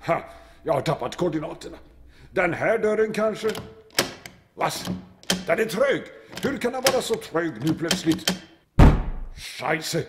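An actor playing a drunken, amorous German U-boat captain in an audio drama, exuberantly mixing German and Swedish. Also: male voice, a little high, expressive, pauses often, heavy background noise, natural voice. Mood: confused, frustrated.

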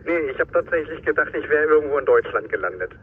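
A man's voice: fairly high pitch, lively intonation, quick pace, husky. A man from Germany with a German accent, chatting casually as a visiting radio hobbyist. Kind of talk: casual chat. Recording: slightly muffled outdoor audio with faint noise.

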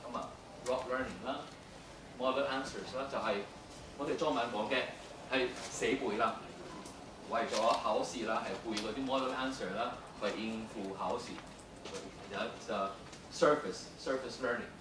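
A man giving an academic lecture, halting and full of fillers, switching between English and Cantonese. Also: mid-range voice, fairly even intonation. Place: lecture room. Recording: echoey room, distant, noisy.

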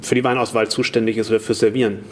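A man's voice: lowish voice, level tone, rapid-fire, slight background noise. A man hosting an interview podcast, asking long, rambling questions that he restarts along the way. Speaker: podcast host.

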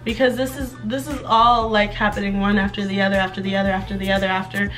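A young woman chatting casually in a vlog update, a bit hoarse from laryngitis and rambling while she does her hair in her bedroom. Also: mid-range voice, flat delivery, quick pace, fairly bright tone, soft background music, poor audio. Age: young adult. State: slightly hoarse from recent laryngitis. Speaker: vlogger. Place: bedroom with clothes rack, indoors.

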